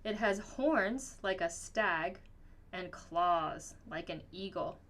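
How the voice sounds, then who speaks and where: A woman reading a children's picture book aloud in an expressive, storytelling manner. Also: lowish voice, takes it slowly. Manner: expressive, acts out dialogue. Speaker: storybook reader.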